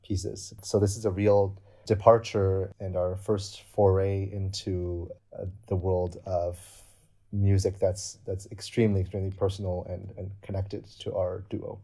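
Guy, young, low mellow voice, earnest, kinda flat, thinking out loud in an interview. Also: unhurried. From Canada. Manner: earnest, explanatory, thinks aloud. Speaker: guest cellist. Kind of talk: interview answers.

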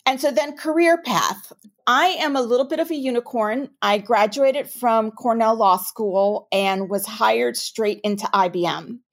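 A woman from New York with a New York accent, answering interview questions as a compliance officer in a measured, explanatory way. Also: a little high. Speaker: chief ethics and compliance officer.

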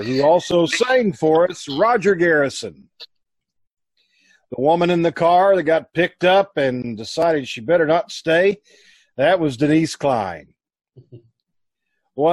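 A man's voice: fairly high pitch, a little mellow, no echo. A man acting as announcer and host, delivering sign-offs and credits in old-time radio style.

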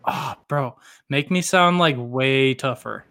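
Bright-voiced chatty man. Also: medium pitch, slow, clear, resonant tone, dry recording, clean studio sound. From American South. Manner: joking, chatty, explains at length. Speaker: podcast co-host. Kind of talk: casual podcast chat.

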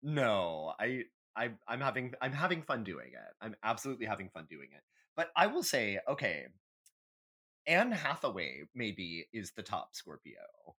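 Low voiced guy podcast host, super animated, opinionated, sweary casual chat. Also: normal speed.

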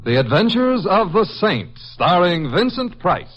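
A man's voice: high voice, big pitch swings, measured pace. Dramatic old-time radio announcer man, music behind. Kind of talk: 1950s radio announcing, scripted. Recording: muffled old radio recording, loud music.

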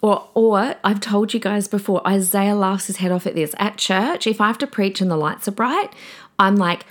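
A middle-aged woman hosting a podcast in a chatty, excitable way, often calling her listeners guys, her voice slightly croaky. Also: medium pitch, moderate pace. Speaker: podcast host; preacher. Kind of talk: casual podcast monologue.

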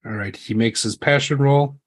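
A man's voice: mid-range voice, normal speed. A man casually improvising narration as game master of a tabletop roleplaying game.

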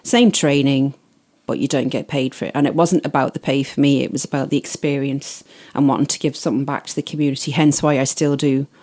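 A middle-aged woman with an Irish accent, a guest explaining her work in a casual podcast interview, steady and detailed. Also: a bass voice, a little fast, few pauses. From Isle of Man. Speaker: guest, wedding PA.

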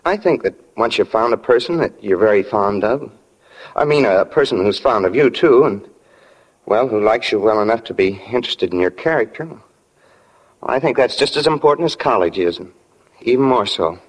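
An earnest teenage boy from a small town in a 1940s radio drama. The voice is fairly low, and he stumbles shyly and sincerely through his feelings. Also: animated, rough recording. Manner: halting, sincere, aw-shucks.

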